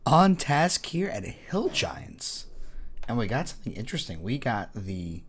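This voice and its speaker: A man with a hoarse voice from a cold, giving casual, self-mocking commentary over his own gameplay, thinking aloud and getting excited at small wins. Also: mid-range voice, a little slow, slight background noise. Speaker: gaming YouTuber.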